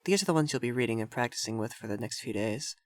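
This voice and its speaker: A man's voice: medium pitch, expressive, fast, no room sound, studio quality, natural voice. A man voicing a haughty, flustered, effeminate vampire lord in a scripted ASMR roleplay, prim and bossy in manner. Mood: encouraging, teasing.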